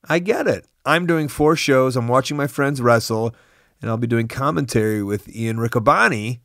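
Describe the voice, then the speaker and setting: A man's voice: mid-range voice, a bit bright, no room sound. Man, podcast host, chatty narration, self-deprecating, phone mic, dry close recording. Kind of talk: recorded narration between clips. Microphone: phone microphone.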